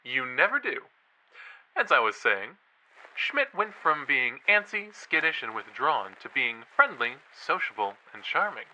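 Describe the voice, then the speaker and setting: Man playing an eager, bright-voiced young podcaster. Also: mid-range voice, sing-song, measured pace, a little hiss or hum, heavily acted, low-quality recording. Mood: playful, intrigued. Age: young adult. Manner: showy, playful, dramatic delivery. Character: eager, theatrical young male college podcaster and ghost believer. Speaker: podcast co-host character. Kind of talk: audio drama acting.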